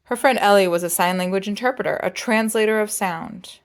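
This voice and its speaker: A woman's voice: medium pitch, fairly quick. A woman reading a picture book aloud as a storyteller, with playful, dramatic phrasing and jazzy sound effects.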